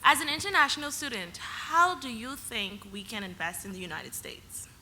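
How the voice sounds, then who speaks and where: A woman's voice: on the high side, lively intonation, normal speed, pushed and bright, slight background noise. A young woman from the Bahamas, a student asking a panel a question politely and directly. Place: conference hall.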